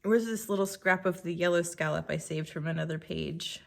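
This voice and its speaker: A woman with a slightly croaky voice, chatting casually and thinking aloud while she works on a scrapbook page. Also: on the low side, a little fast. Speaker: scrapbooking creator. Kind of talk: casual crafting commentary.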